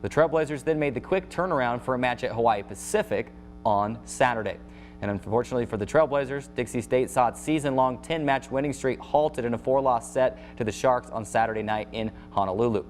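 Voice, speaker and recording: An American man hosting a sports show, reading a scripted recap of scores and highlights as an announcer. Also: a little fast, soft background music.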